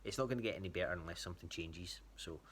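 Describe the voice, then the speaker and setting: Scottish male, low voice, informal and halting check-in style, noisy recording. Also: fairly bright tone. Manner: hesitant, rambling. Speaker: athlete giving a training check-in to a coach. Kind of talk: casual spoken update.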